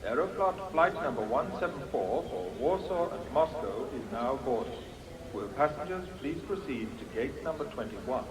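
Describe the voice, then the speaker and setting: An actor playing a formal, impersonal airport announcer calling boarding in a radio drama. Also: male voice, a little high, lively intonation, normal speed, natural voice. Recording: echoey airport tannoy, distant.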